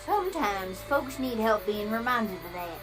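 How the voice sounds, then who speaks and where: A woman playing a wise old granny pony with an American Southern accent, telling things in a homespun, gently scolding way for a cartoon comic dub. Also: a little high, sing-song, unhurried. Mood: warm, sly. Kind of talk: fan comic dub character acting. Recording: music playing behind the voice.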